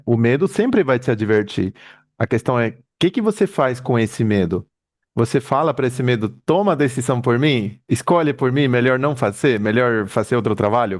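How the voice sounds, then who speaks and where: A man's voice: mid-range voice, a little mellow. A man with an accent in Portuguese, co-hosting a live motivational talk in an earnest, explanatory way and repeating his key points. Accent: foreign accent in Portuguese.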